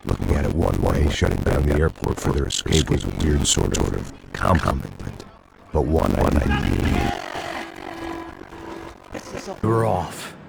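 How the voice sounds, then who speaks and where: An actor playing a hard-bitten middle-aged ex-cop, narrating in a dry, sardonic noir voice-over. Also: male voice, moderate pace, heavy background noise, exaggerated voice. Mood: weary. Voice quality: very deep gravelly voice. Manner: dry, sardonic, world-weary. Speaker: narrator and lead character. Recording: game cutscene with loud music.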